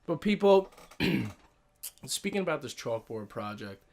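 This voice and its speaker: An excitable young man hosts a loose, casual conversation. He curses freely and keeps calling out to his audience in an ordinary mid-pitched voice. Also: takes it slowly, creaky. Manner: excitable, swears freely, talks straight to listeners. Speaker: podcast host. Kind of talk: casual chat.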